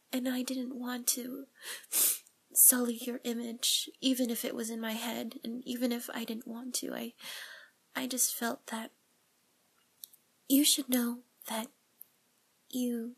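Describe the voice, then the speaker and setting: A woman voices a nervous young woman pouring out a confession to her priest in a high, airy, whispering voice. She talks slowly, with halting restarts and a tearful, pleading edge. The breathy hush stays close and soft throughout. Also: exaggerated voice. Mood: relieved, earnest. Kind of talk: whispered audio roleplay monologue.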